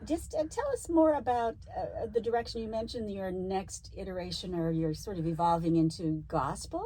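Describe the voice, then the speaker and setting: A woman's voice: medium pitch, moderate pace, a crowd in the background, low-quality recording. A woman hosting an interview podcast, asking upbeat, encouraging questions. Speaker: podcast host.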